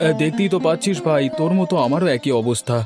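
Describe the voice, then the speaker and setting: A world-weary village farmer in a cartoon, played by a man. He grumbles resentfully at a quick pace in a lower voice, with faint music behind him. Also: lively intonation, close to an everyday voice. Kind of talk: cartoon voice acting.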